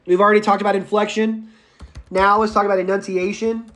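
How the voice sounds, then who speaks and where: A raspy-voiced male public speaking instructor lecturing a live online class, speaking loudly without a microphone, chatty and prone to tangents. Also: high-pitched, little pitch movement, fairly quick. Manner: speaks loudly, projecting as if shouting; enthusiastic, goes off on tangents and laughs. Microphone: no microphone, voice caught by the room recorder.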